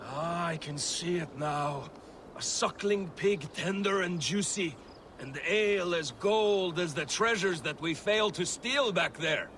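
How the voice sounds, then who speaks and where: A man's voice: high voice, a little slow. An actor playing a brash, boastful Viking raider in a video game, blustering as he talks, over water noise. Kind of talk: cinematic video game acting. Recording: loud water and boat ambience.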